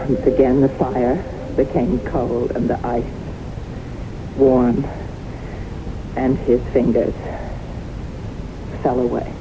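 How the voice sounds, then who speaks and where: An actress playing a haunted woman who recounts disasters in dramatic, deliberate radio drama style. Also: a bass voice, level tone, exaggerated voice. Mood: haunted, sorrowful. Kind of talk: 1970s radio drama acting. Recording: muffled noisy old radio recording.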